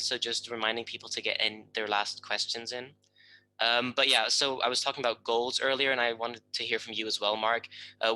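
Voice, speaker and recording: A man hosting a stream, moderating questions in a quick, informal way. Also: mid-range voice, bright, forceful tone, poor audio. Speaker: stream host.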